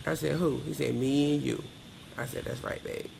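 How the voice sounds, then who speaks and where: A middle-aged woman with a low, gravelly voice speaks as a podcast guest. She is candid and emphatic, slipping into quoted dialogue as she tells personal stories. There is some noise behind her. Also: steady tone, moderate pace, mellow. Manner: emphatic, plain-spoken, acts out quoted dialogue. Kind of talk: candid personal interview storytelling.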